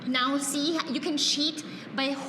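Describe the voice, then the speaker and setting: A woman's voice: sits high, fairly even intonation, slow, roomy sound, in a large hall, noisy background. A woman whose first language is Tagalog, leading a yoga class, cueing breaths in an upbeat way with frequent cheers. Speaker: yoga instructor. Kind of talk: guided yoga class instruction.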